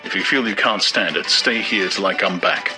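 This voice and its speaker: A man's voice: low voice, moderate pace, over music, natural voice. A man voicing a weary, curt young man.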